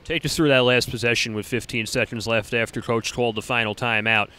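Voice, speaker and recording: A young man doing postgame interviews in a college gym, rattling off scores and stats in a crisp broadcast delivery into a handheld mic. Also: mid-range voice, fairly even intonation, fairly quick, sharp tone. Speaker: sideline reporter.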